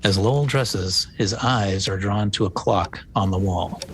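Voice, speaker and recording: A man narrating a screenplay, reading scripted stage directions. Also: a little slow. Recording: faint low rumbling noise.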